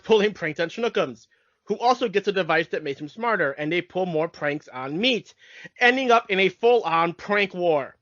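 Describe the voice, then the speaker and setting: High-pitched man hosting a casual podcast. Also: fairly bright tone, dry recording. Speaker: podcast host. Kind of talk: casual podcast chat and plot recap. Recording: dull lo-fi audio.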